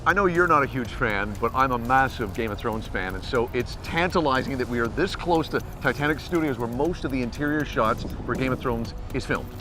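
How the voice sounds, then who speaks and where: A man's voice: medium pitch, expressive, a little fast, hardly any pauses, music in the background. A man co-hosting a travel show, presenting with eager enthusiasm. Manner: enthusiastic, upbeat.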